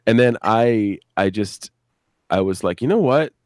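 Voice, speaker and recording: Man, middle-aged, very low voice, dry close sound. Halting, sweary, casual storyteller on a live stream. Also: lively intonation, no room sound. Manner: rambling, halting, crude swearing. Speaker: podcast creator, interview guest. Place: video live stream with a banner behind.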